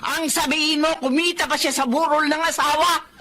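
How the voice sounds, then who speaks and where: A man's voice: extremely high pitch, steady tone, a little fast, sharp tone, slight background noise. A man acting a fiery, outspoken, exasperated meddling in-law in a Filipino radio drama. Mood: exasperated, indignant.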